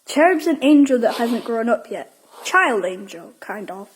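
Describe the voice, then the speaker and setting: A woman's voice: fairly high pitch, very animated, unhurried, slightly rough, full character voice, rough recording. A woman playing a naughty, curious young girl in a Victorian audio drama, talking in short playful retorts. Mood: bantering.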